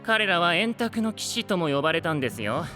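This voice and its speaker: Bright, theatrical, courteous knight. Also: male voice, high voice, very animated, fairly quick, long reverb tail, faint music behind, exaggerated voice. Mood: delighted. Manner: polite speech, playful and grandiose. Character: theatrical, teasing, courteous male knight storyteller. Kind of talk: Japanese anime audio drama acting.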